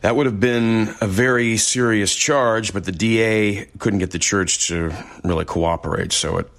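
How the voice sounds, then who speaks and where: An actor playing a small-town investigator in an audio drama, giving a dry, factual, conversational account like testimony. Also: male voice, fairly low pitch, fairly even intonation, moderate pace, vocal fry, a bit bright. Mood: matter-of-fact.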